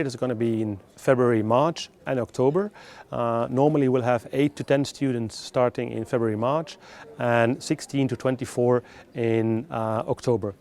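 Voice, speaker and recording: Guy being interviewed as a recruiter, non-native english, explains stuff in a measured hesitant way, average pitch. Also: darkish tone. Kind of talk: interview answers, explanatory talk.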